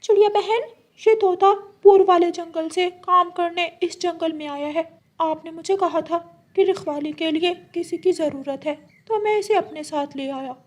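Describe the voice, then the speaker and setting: A woman's voice: very high-pitched, measured pace, pitched above the performer's own voice. A woman voicing a helpful, courteous male parrot in a children's cartoon, with an obliging manner. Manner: obliging, polite.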